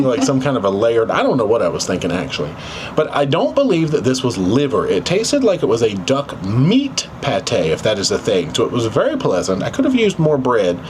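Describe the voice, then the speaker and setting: Guy doing a vlog recap, folksy and playful, really lively pitch, bit of noise behind. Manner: folksy, playful, addressing viewers as y'all. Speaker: travel vlog host. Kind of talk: casual food and travel chat.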